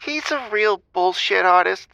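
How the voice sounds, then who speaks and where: A woman's voice: medium pitch, animated, unhurried, vocal fry, pushed and bright, no echo, highs cut off, exaggerated voice. A woman playing an exasperated, aggrieved cat owner calling a comedy advice show, hesitant and complaining. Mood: fed up. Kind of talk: improvised comedy phone-in.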